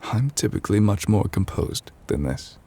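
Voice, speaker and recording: A man playing an ancient, weary chief judge in an intimate ASMR roleplay, formal and gentle, speaking in measured, hesitant phrases. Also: animated. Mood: apologetic, hesitant. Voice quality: husky, breathy low voice. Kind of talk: intimate ASMR audio roleplay, slow dramatic monologue.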